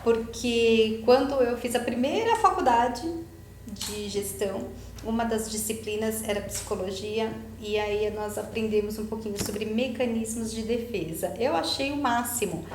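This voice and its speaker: Brazilian female host, slightly high voice, chatty and informal, roomy sound with faint noise. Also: unhurried, darkish tone. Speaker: podcast host. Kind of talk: casual conversational chat.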